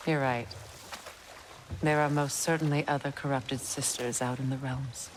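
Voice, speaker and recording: A woman playing a sorrowful, regal middle-aged goddess and mother in a video game, speaking in a measured, earnest, wistful way. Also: a bass voice, fairly even intonation, noisy background. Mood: gentle, earnest. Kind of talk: cinematic video game acting.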